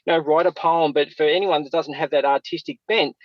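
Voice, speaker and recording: A man's voice: on the high side, steady tone, brisk, no echo. A man co-hosting a podcast in casual chat, rambling hesitantly and circling back over his words, on a slightly dull recording. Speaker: podcast co-host.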